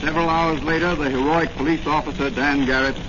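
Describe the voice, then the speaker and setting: A man's voice: fairly high pitch, steady tone, brisk. A man narrating a 1940s radio serial as its announcer, formal, dramatic and grandiose. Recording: noisy, muffled old radio recording.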